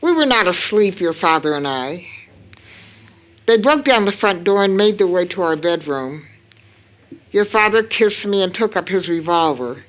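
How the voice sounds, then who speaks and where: A grieving widowed mother in an audio drama. She speaks slowly at a middling pitch, solemn and deliberate. Her account is proud and heavy with loss, with weighted pauses between phrases.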